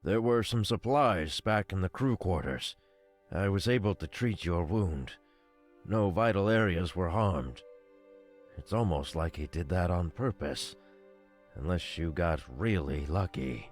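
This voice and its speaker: A man voicing a weary, remorseful creature who was once a soldier, pleading gravely in a visual novel. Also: low voice, animated, measured pace, faint music behind.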